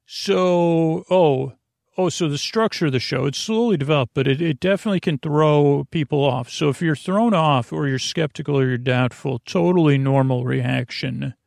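A man hosting a podcast, delivering a meandering, halting, self-deprecating bedtime monologue that keeps interrupting itself with digressions. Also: a little high, creaky, a little mellow, no room sound, clean studio sound. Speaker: podcast host.

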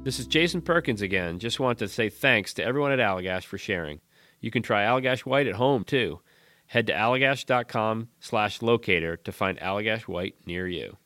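Low-pitched man, casual ad testimonial, faint music under him. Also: expressive, brisk, bright, forceful tone. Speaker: brewery spokesperson.

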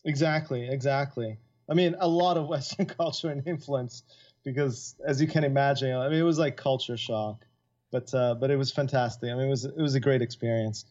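A man's voice: medium pitch, steady tone, fairly quick, darkish tone. A middle-aged man who grew up in Italy talks loosely as an interview guest. He rambles, full of fillers and restarts. The recording sounds a bit dull and lo-fi. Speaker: guest composer. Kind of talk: casual interview chat.

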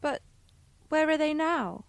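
A woman's voice: high voice, lively intonation, takes it slowly, no room sound, full character voice. A woman voicing a curious, innocent little village girl in an audio drama, with a childlike, questioning way of talking.

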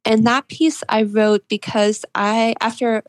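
A twenty-year-old woman answering interview questions conversationally, thoughtfully, with frequent halting pauses. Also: on the high side, steady tone, no echo. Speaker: interviewed author.

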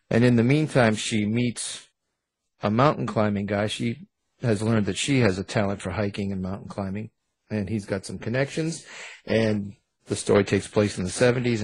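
A man's voice: fairly low pitch, slightly rough, dry recording, poor audio. A man speaking as an author guest in an interview, explaining things in a casual, meandering, slightly hesitant way. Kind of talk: casual conversational storytelling.

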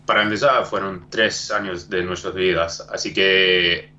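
A man's voice: deep voice, fairly even intonation, measured pace, sharp tone, dull audio. A native English-speaking man with an Argentine-tinged Spanish accent, talking as a documentary producer, hesitant and searching for words. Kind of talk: remote podcast interview. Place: home studio.

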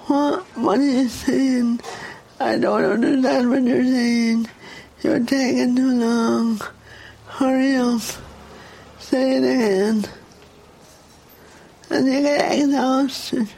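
A woman in her thirties with a Dublin accent and a speech impediment, talking slowly and effortfully. She speaks candidly and reflectively in a documentary interview. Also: fairly high pitch, vocal fry, a little mellow, slightly rough, low-quality recording. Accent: Dublin Irish. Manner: candid, reflective, emotionally open.

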